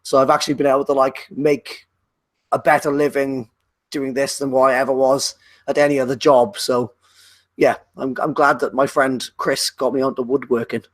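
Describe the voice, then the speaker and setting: A man's voice: medium pitch, little pitch movement, normal speed, no room sound. A young adult man from South Wales with a Welsh accent, chatting as a podcast guest and rambling with lots of fillers and restarts. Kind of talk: casual chat.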